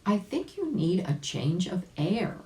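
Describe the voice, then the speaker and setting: Woman as a pompous possum doctor, lively pitch. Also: measured pace. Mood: firm, advising. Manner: pronouncing advice, with ha and hum. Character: pompous, wise-looking male possum doctor. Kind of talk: children's storybook character voice. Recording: roomy, echoing home recording.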